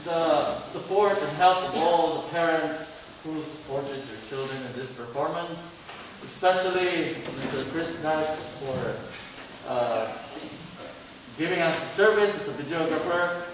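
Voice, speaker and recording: Slow-speaking, higher-voiced man in echoing hall. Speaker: school event host. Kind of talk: public thank-you speech. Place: large hall with audience. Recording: noisy echoey hall, distant, loud crowd.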